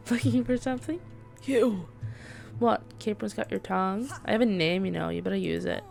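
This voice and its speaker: A woman's voice: mid-range voice, moderate pace, vocal fry, a little mellow, faint music behind. A woman voicing a taunting, cocky young female villain from a video game, haughty and theatrical. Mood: mocking, haughty.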